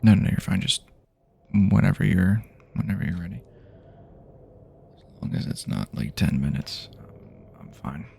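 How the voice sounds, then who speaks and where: A man doing improvised ASMR roleplay as an easygoing survivor guiding a friend, speaking to the listener in halting, casual phrases. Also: low voice, big pitch swings. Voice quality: husky, gravelly, soft-edged voice. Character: easygoing survivor guiding a friend through post-apocalyptic wasteland.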